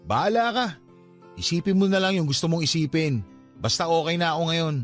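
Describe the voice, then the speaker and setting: An actor playing a troubled young man in a radio drama, blunt but softening. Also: a little high, expressive, fairly quick, faint music behind, lightly acted. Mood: teasingly annoyed.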